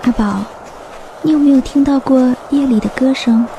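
A woman playing a wistful, lovesick young sock with a soft, dreamy, tender manner in a Chinese radio drama. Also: high-pitched, moderate pace, roomy sound, slight background noise. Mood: shy, tender. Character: wistful young female left-foot sock, lovesick and innocent. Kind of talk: Chinese radio drama acting with inner monologue.

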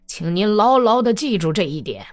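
A woman's voice: on the low side, animated, unhurried, a little mellow. A woman acting out an irate, domineering old male general in an audiobook, imperious and scolding, with music behind. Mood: furious shouting. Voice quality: hoarse when angry.

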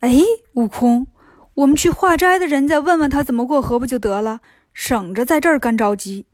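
A woman voicing a gentle, anxious monk master in a children's storybook. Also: sits high, brisk, no room sound, clean studio sound. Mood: fretful.

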